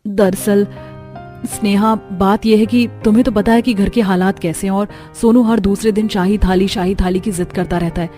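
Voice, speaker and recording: A woman voicing a gentle, hardworking elder daughter-in-law in a cartoon story, earnest and soft-spoken. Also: mid-range voice, steady tone, normal speed, soft background music, natural voice. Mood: hesitant, explaining. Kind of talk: Hindi cartoon story dialogue.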